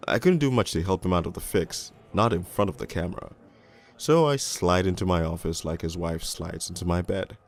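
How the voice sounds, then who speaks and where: Deep, gravelly, jaded police detective. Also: male voice. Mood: wry, sardonic. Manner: world-weary, deliberate, sardonic. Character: jaded, crude, cynical male police detective. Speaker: narrator and lead voice actor in an animated storybook. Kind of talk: first-person hard-boiled crime narration.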